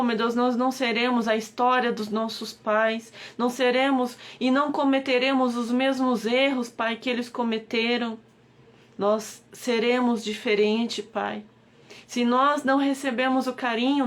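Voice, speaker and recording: Brazilian Portuguese woman, slightly high voice, pleading devotional prayer leader who keeps invoking God. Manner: pleading, repeated invocations of God. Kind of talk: devotional live-stream prayer.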